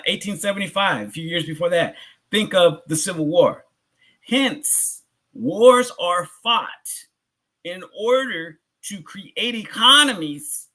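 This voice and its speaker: A man's voice: sits high, sharp tone. A man giving a public educational lecture in a conversational way, asking rhetorical questions and repeating phrases for emphasis. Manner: conversational, informal address to audience. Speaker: community lecturer.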